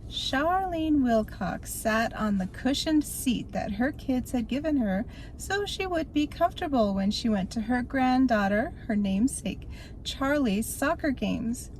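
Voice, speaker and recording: An older woman reading a spooky story aloud in an expressive, theatrical storytelling manner. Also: on the high side, measured pace, slight background noise, rough recording.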